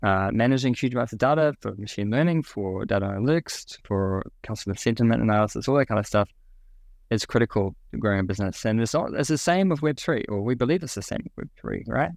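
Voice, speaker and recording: A man's voice: on the low side, fast talker, gravelly, a little mellow, no room sound, clean studio sound. A young man with a New Zealand accent, a podcast guest explaining a product with many ums and restarts. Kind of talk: podcast interview.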